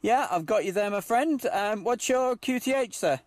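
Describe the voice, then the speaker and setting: A man running a CB radio net, speaking in a halting, hesitant way. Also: high voice, animated, no room sound. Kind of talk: amateur CB radio chat.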